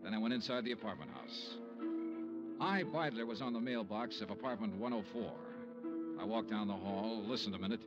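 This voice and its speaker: An actor playing a cool, wry hard-boiled investigator, terse and dry, in a vintage radio detective drama. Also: male voice, lowish voice, animated, loud music behind the voice, natural voice. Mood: cool narration. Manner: terse, dry, unhurried authority. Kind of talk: 1950s radio detective drama, narration and dialogue. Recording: dull vintage radio recording.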